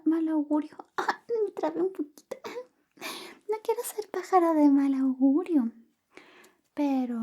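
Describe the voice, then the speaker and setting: A young woman playing a sly, clingy female best friend secretly in love, in a soft, whispery, coy ASMR roleplay. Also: sits high. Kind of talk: Spanish ASMR roleplay, personal attention.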